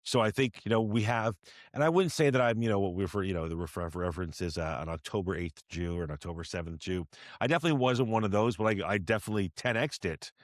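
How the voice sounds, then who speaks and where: A man's voice: lowish voice, fast talker, no room sound, studio quality. A man hosting an interview podcast, asking long, rambling questions with many repeated words and restarts. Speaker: podcast host.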